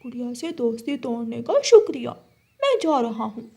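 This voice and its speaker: A woman's voice: a little high, sing-song, normal speed, gravelly, mellow, exaggerated voice. A woman voicing a mischievous, greedy young male cartoon crow with a wheedling, childlike manner. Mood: smug. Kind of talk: cartoon story dialogue.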